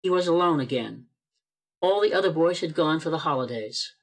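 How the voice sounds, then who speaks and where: A man narrating an amateur radio play with expressive storytelling, read over a video call. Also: fairly high pitch, a little fast.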